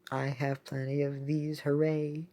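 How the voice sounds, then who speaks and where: A woman giving casual, excitable gameplay commentary as a video host, thinking aloud as she plays. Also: low voice, a little slow. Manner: excitable, exclaiming often; thinks aloud while playing.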